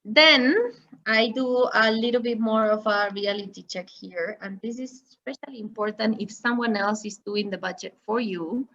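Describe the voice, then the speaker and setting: A woman's voice: medium pitch, sharp tone, dull audio. A woman giving an online masterclass on finance, explaining step by step with examples. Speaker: finance trainer. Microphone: video call.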